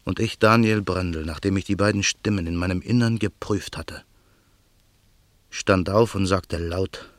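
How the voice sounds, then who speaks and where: An actor playing a brooding man bent on revenge, narrating his own thoughts in a radio play with a husky voice. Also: low-pitched, steady tone. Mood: brooding, tense. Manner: slow, brooding inner monologue. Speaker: narrator and lead character.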